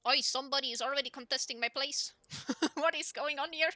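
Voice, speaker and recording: A young woman with a squeaky voice, casting a live game in a chatty way with lots of ums and laughs. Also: a little fast, pushed and bright, no room sound. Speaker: esports caster.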